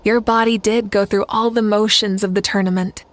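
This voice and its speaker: A woman's voice: mid-range voice, level tone, moderate pace, lightly acted. A woman voicing a confident, gracious female warrior ruler in a video game, with an assured, ceremonial manner. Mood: proud, amused.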